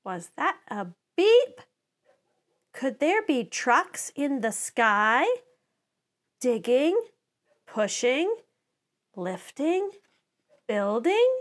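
Lady reading a kids picture book out loud, really slow n deliberate, voice a bit high, expressive storyteller style. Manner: animated, deliberate storytelling with dramatized sound words. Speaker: children's author reading own book aloud. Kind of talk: expressive picture-book read-aloud for preschoolers.

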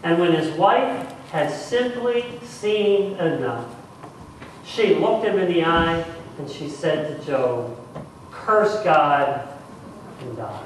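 A middle-aged man preaching a sermon in a church, deliberately, with dramatic pauses and repeated phrases for emphasis. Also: a little high, expressive. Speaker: pastor. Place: church sanctuary, speaking at the front. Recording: echoey church, distant mic, faint noise.

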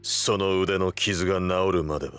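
A man voicing a stoic, tough young delinquent hero in a Japanese video game, terse and rough-spoken with cool defiance. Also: low voice, fairly even intonation, moderate pace, a bit bright, soft background music. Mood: cool realization.

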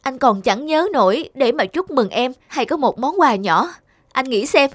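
A woman plays a sensitive young cartoon girlfriend with a fairly high voice. The delivery is emotional and heartfelt. It sounds dry and close, with music underneath. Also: animated, unhurried, no echo. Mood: hurt, reproachful. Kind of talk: cartoon dubbing.

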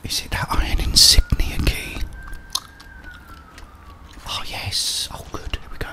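A man whispers breathily, muttering to himself as he gives hushed ASMR commentary on a video game, close to the mic. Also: measured pace. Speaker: ASMR gaming streamer.

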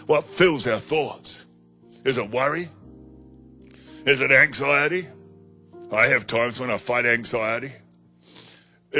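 A man in his sixties preaching a sermon on a studio stage into a handheld mic, emphatic and slowing down to stress key phrases. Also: medium pitch, pushed and bright. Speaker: pastor. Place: studio stage with colored backdrop.

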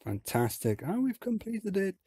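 A man with a hoarse, croaky voice from illness, chatting casually as he talks through a theme park game. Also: sits high, moderate pace, dry recording, clean studio sound. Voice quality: husky, croaky. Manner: relaxed, chatty, explains as he plays. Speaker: game video host.

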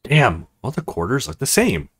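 A man playing a visual novel, commenting casually and reading lines aloud in an expressive, theatrical way. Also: medium pitch, moderate pace, slightly rough. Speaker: let's play streamer.

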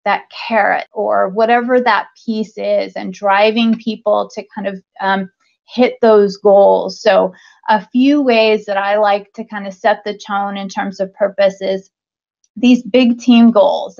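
Woman giving a webinar talk, bit of vocal fry, lots of ums, dull lo-fi call audio. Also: mid-range voice. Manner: conversational, many ums and restarts. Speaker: conference speaker, talent acquisition leader. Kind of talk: conference talk over slides. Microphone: video call webcam.

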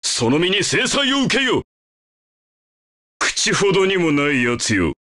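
A man voicing a brutal, domineering, gravelly warrior in an anime battle game. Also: sits high, sing-song, moderate pace, pushed and bright, no room sound, clean studio sound, exaggerated voice. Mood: menacing. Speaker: video game voice actor.

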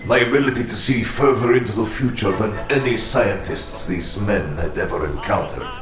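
An actor playing a proud, brooding older tyrant recounting his youth in a grave, measured, haughty dramatic narration for a comic. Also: fairly low pitch, reverberant, music in the background, close to an everyday voice.

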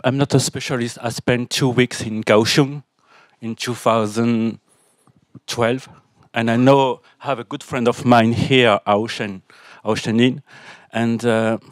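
A man with a French accent and a mid-range voice asks a question in halting English as a non-native speaker. He stops often and restarts his sentences. The recording is dry. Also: mid-range voice, no room sound. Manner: hesitant, many fillers and restarts. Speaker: audience member asking a question.

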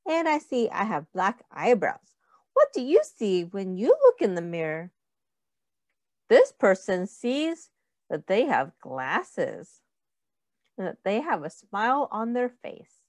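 A middle-aged woman reading a picture book aloud to young children, with simple, deliberate phrasing and questions to the listeners. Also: medium pitch, wildly swooping pitch, a little mellow, dry recording, clean studio sound.

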